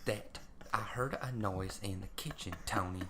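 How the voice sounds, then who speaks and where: A man's voice: on the low side, normal speed, a bit bright, lightly acted. A man voicing a frightened, insistent wife nagging her husband at night in an amateur radio drama. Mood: exasperated. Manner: insistent, pleading, urgent. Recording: homemade radio drama with noise.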